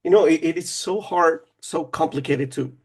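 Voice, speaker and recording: A man's voice: a little high, normal speed, breathy edge. A man who teaches children, talking in a casual podcast conversation, hesitant and often restarting his sentences.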